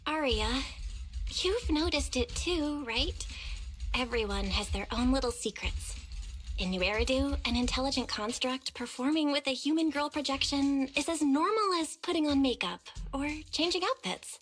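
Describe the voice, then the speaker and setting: A woman's voice: high-pitched, animated, moderate pace, a bit bright, soft background music. A woman playing an upbeat, reassuring young female team leader in an anime game, speaking expressively and encouragingly.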